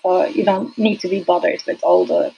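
A woman whose first language is Turkish, a UX designer as a podcast guest, talking hesitantly with many fillers and soft laughs. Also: fairly low pitch, huge pitch swings, moderate pace, highs cut off, slight background noise. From Turkey. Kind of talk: casual interview.

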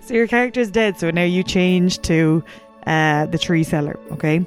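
A woman's voice: fairly low pitch, normal speed, soft background music. A woman co-hosting a comedy podcast, joking and swearing through casual banter and mock-dramatic script reading. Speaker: podcast co-host.

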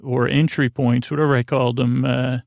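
Guy with a really creaky voice doing a rambly bedtime monologue, pretty flat, trails off and restarts a lot. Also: medium pitch, no room sound. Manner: meandering, halting, self-interrupting, soothing. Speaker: host of a sleep podcast.

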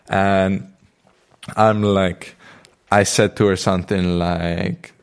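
Man, Icelandic accent, low-pitched, reflective, spiritual storytelling, lots of "you know" and "uh". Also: flat delivery, drawn-out words, gravelly, smooth. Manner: reflective, spiritual wording, many fillers and restarts. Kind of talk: casual personal storytelling.

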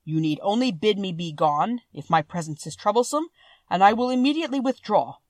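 A woman voicing a gentle-hearted male monster in a storybook, humble and mournful, with an emphatic, courtly, earnest manner. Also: mid-range voice, expressive, a little fast, no room sound, lightly acted. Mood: courteous, anxious. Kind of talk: storybook character voice.

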